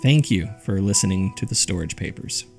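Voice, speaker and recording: A man's voice: low voice, animated, brisk, vocal fry, a little mellow. A man reading scripted narration as the host of a horror fiction podcast. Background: background music.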